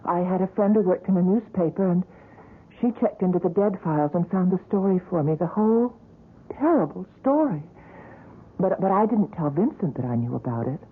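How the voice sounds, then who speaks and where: A woman's voice: on the low side, expressive, a little fast, slightly rough. An actress playing an earnest young woman in love in a 1970s radio drama, speaking in a pleading way. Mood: recalling. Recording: tinny 1970s radio broadcast.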